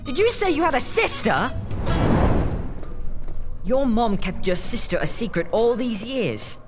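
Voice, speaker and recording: A young street-gang leader, earnest and full of guilt. The voice is fairly high and very lively, pleading in colloquial speech, with audio drama music behind. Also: female voice, a little slow. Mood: startled, urgent.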